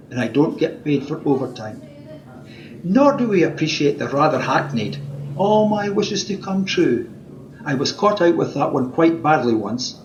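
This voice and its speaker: An actor playing a fussy, officious wish-granter with a pedantic, chatty manner in a radio play. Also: male voice, a little high, darkish tone, natural voice. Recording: muffled home recording, background noise.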